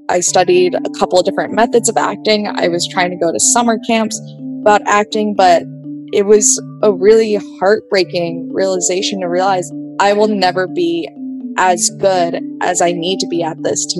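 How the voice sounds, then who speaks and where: A woman whose first language is Vietnamese, from New Mexico, telling her life story as a podcast guest in a casual, thoughtful way with many fillers. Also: on the low side. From New Mexico, USA. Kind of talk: reflective personal storytelling. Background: soft music.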